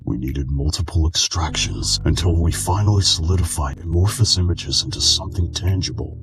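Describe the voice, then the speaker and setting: A man plays a weary old keeper of memories from a video game, with a very low voice. He is calm, solemn and melancholic, delivering a measured dramatic monologue over clearly audible music. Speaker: amateur voice actor in a game fandub.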